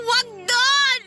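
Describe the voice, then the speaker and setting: Nosy pushy wife in a radio drama, high voice, super animated, teasing and chattering. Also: female voice, exaggerated voice. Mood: mocking. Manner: chattering, needling, exclaiming, giggly. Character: talkative, brazen, teasing wife and mother, freeloading houseguest.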